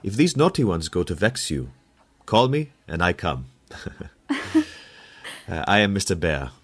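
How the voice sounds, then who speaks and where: A man's voice: sits low, sing-song, unhurried, fairly bright tone, exaggerated voice. A man playing a kindly, bashful German professor in an audio play, speaking with a German accent in a hesitant, polite way. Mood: friendly. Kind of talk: audio drama acting.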